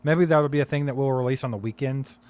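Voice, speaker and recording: A man's voice: medium pitch, fast talker, darkish tone. A man co-hosting a casual live sports podcast stream, rambling with many fillers and reading out viewer comments. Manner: rambling, many fillers, reads chat aloud. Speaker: podcast co-host.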